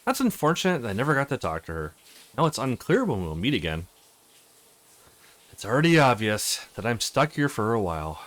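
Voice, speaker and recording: Man with swooping, highly animated pitch. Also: a little fast. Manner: acts out characters' lines with exclamations. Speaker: let's play host. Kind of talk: reading visual novel dialogue aloud with casual commentary.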